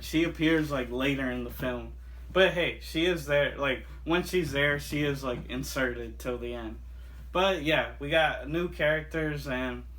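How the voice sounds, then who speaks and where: A man's voice: medium pitch, a bit bright. A man reviewing a monster movie in a casual, rambling way, full of fillers and restarts. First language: not Japanese. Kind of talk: casual chat.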